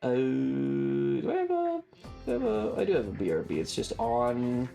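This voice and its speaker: A livestreamer chatting casually while gaming, rambling and halting, with a wry, sarcastic manner. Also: medium pitch, huge pitch swings, creaky, warm tone. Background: music.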